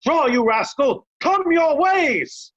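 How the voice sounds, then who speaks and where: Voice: high-pitched, animated, slow, lo-fi sound. An actor playing a blunt, hot-tempered old loyalist in Shakespearean reader's theatre, scornful and emphatic, piling up insults. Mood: furious shouting.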